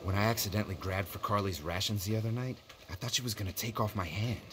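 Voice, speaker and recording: A man's voice: on the low side, fast talker, noisy background, lightly acted. A man with an American accent playing a frustrated, hungry, talkative survivor in a video game. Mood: grumbling, wry.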